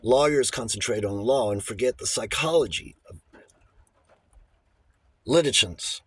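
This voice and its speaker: A man's voice: medium pitch, a little slow, fairly bright tone, a little hiss or hum, natural voice. A man voicing a little old bachelor lawyer in an audiobook, formal and precise, shifting between diffidence and relish for a fight. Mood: authoritative, relishing. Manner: hesitant stammers giving way to precise, emphatic pronouncements.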